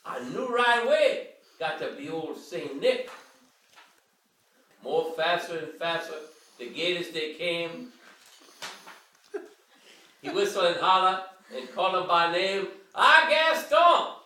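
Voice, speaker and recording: A man's voice: a little high, slow, long pauses, sharp tone, distant mic, a little hiss or hum. An older man giving a theatrical bedtime read-aloud of a dialect verse story. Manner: theatrical, reading in Cajun dialect. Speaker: reader of a children's story. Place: living room armchair, indoors. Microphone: handheld camera a few metres away. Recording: roomy home recording from across the room.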